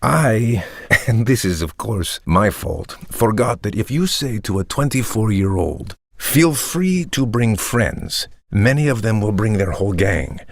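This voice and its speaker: An actor playing a rich, self-assured tech entrepreneur in an audio play, explaining himself in a measured, earnest way. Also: male voice, on the low side, animated, gravelly, no echo, full character voice. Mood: smooth, self-justifying. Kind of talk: storytelling monologue in an audio play.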